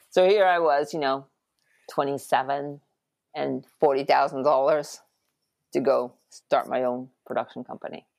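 Gravelly-voiced woman telling her story. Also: extremely low pitch, fairly even intonation, a little slow, pauses often, a little mellow, no room sound, clean studio sound. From Japan. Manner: reflective, many ums and restarts. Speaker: guest, animation producer. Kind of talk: relaxed interview storytelling.

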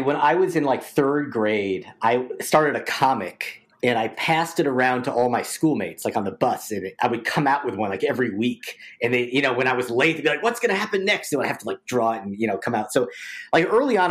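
A man's voice: medium pitch, very animated, brisk. A man in his forties from New York, an interview guest talking casually and eagerly, rambling with lots of fillers and restarts. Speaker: interview guest, visual effects artist.

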